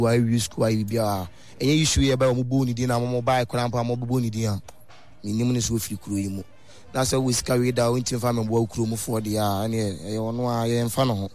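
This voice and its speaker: A man's voice: mid-range voice, steady tone, fast, darkish tone, soft background music. A man with a Ghanaian accent giving an earnest comment in a street interview. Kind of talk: vox pop comment.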